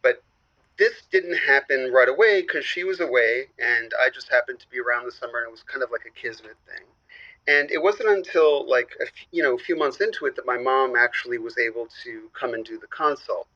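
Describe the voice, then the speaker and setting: A man's voice: mid-range voice, brisk, sharp tone, no room sound, highs cut off, lightly acted. An actor playing an earnest, hesitant caller whose life is run by his mother's equations, talking with many restarts. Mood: earnest, deadpan. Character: male caller Lewis ruled by his mother's math. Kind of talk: improvised comedy call-in radio acting.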